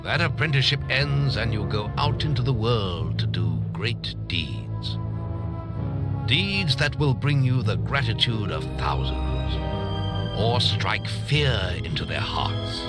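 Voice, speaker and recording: An actor with a British accent playing a grave, fatherly older guildmaster in a fantasy video game. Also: medium pitch. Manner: solemn, ceremonial. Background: music.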